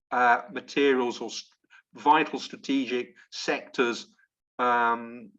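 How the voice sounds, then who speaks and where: A man's voice: medium pitch, fairly bright tone, muffled recording. A man from England, speaking on an online panel and often repeating words as he thinks.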